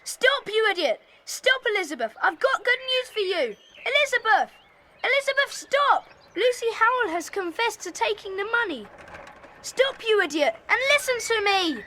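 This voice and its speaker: An actress playing a bossy, eager schoolchild detective with a brisk, insistent manner in a children's audio drama. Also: female voice, very high-pitched, sing-song, sharp tone, exaggerated voice. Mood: urgent. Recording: hissy old cassette tape.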